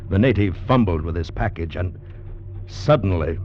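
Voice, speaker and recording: An actor playing a grim convict witness who solemnly narrates a tale of horror in 1940s radio drama style. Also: male voice, low-pitched, animated, a little mellow, slight background noise. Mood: tense, suspenseful. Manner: solemn, dramatic, deliberate storytelling. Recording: muffled old radio recording with music.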